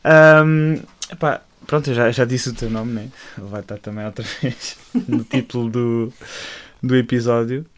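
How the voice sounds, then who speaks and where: A man's voice: mid-range voice, steady tone, normal speed. A man hosts a casual podcast interview in a friendly back-and-forth. He laughs often, stumbles over his words, and circles back to restart his questions. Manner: laughing, hesitant, many fillers and restarts. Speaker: podcast host.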